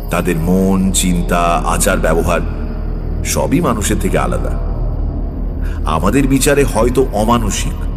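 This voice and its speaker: A man playing a calm, knowing occult priest who recounts a horror story in a measured, explanatory way. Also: lowish voice. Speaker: narrator. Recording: long reverb, faint music behind.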